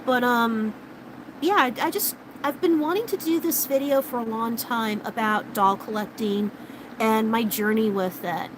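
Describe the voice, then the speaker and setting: Late-30s woman vlogger, rambling and casual, fairly high voice going a bit hoarse, noisy background. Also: measured pace. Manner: rambling, chatty, many fillers and restarts. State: starting to lose her voice. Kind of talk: casual chat with live viewers.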